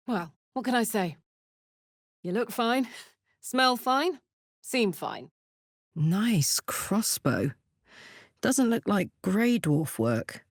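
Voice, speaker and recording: A woman's voice: fairly high pitch, dry recording, clean studio sound, exaggerated voice. An actress playing a bold, hearty young female soldier in a video game, brash and slangy with a playful streak. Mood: casual, curious. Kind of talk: expressive video game voice acting.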